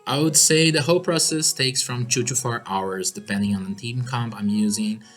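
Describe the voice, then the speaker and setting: A man's voice: medium pitch, normal speed, fairly bright tone, faint music behind. A man from Brazil whose first language is Portuguese, speaking English with a Brazilian Portuguese accent as he chats through viewer questions in an upbeat way. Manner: chatty and upbeat, laughs often. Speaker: gaming YouTuber answering viewer questions. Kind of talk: casual Q&A chat.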